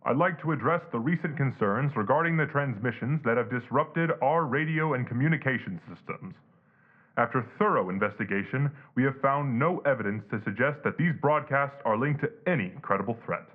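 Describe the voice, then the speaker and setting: Through a thin, phone-like radio filter comes a male government spokesman. He reads a prepared statement in a formal, bureaucratic manner, at a middling pitch for a man. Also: expressive, fairly quick. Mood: official, reassuring. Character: formal government communications director reading official statement. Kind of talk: prepared official statement, audio drama.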